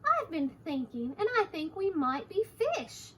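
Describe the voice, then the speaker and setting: A woman's voice: extremely high pitch, very animated, measured pace, a little hiss or hum, voice pushed up, heavily acted. A woman voicing a silly, enthusiastic male storybook chicken in a bold, exclamatory way. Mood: eager, excited.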